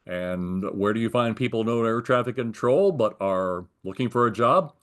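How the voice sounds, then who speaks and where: A man's voice: medium pitch, expressive, normal speed, fairly bright tone. An older American man in a conversational interview, explaining his working life in careful detail. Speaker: retired air traffic controller being interviewed.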